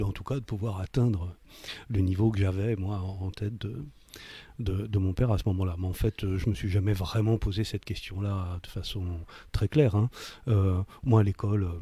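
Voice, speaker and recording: Very mellow, husky older man. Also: lowish voice, normal speed, no room sound. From Paris, France. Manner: hesitant, searching for words, with repeated words. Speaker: interview guest, leather craftsman. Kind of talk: reflective autobiographical interview talk.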